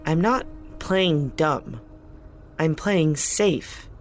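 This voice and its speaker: A woman playing a cautious, defensive reformer with a measured way of talking in an audio drama. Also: sits low, lively intonation, faint music behind, exaggerated voice. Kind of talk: naturalistic audio drama acting.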